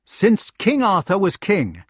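A man's voice: sits high, huge pitch swings, slow pace, slightly rough, no room sound, studio quality, pitched above the performer's own voice, exaggerated voice. A man voicing a stern, chivalrous young knight in an audiobook, firm and exasperated as he admonishes. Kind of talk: audiobook character voice.

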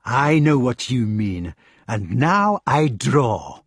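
A man's voice: medium pitch, very animated, slow, breathy edge, dry recording, clean studio sound, full character voice. A man voicing a fat, defiant, nervously snarling knight in a storybook reading. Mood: threatening, frightened.

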